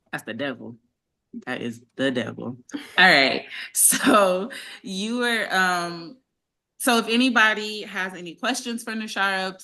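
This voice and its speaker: A woman with a low yet bright, forceful voice hosts a live-stream chat over a video call. She talks casually and at length, steering the conversation and keeping things moving. Her delivery is loose and conversational. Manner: chatty, sorting out connection problems aloud. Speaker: livestream host.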